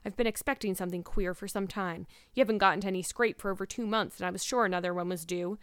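Woman acting a stern, scolding older woman, clear-voiced. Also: medium pitch, fairly even intonation, dry recording, lightly acted. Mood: stern, baffled. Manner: brisk, scolding, sarcastic. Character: stern, sharp-tongued older woman guardian, exasperated.

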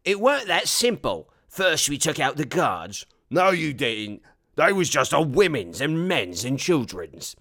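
Crude, indignant orc, pitched up. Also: male voice, big pitch swings, a little slow, bright, forceful tone, heavily acted. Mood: boastful. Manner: ungrammatical rustic speech. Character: squabbling male orc soldiers, crude, boastful and indignant.

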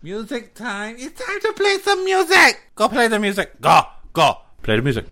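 A male podcast co-host with a bright, forceful voice. His pitch moves around in a lively way as he chats casually, swearing freely and playing things up with sarcasm. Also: normal speed. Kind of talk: casual chat with profanity.

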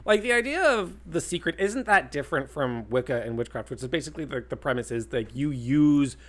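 A podcast co-host chatting casually, giggly, saying "like" constantly and breaking into loud laughter. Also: mid-range voice, animated, fairly quick. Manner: giggly, heavy use of "like", bursts of loud laughter. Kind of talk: casual chat.

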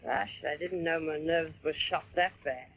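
A woman's voice: low-pitched, moderate pace, breathy edge. An actress playing a frightened, hard-boiled woman who drinks and talks slangy and defiant, in old radio drama style. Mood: nervy defiance. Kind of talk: 1940s radio drama acting. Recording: muffled vintage radio recording.

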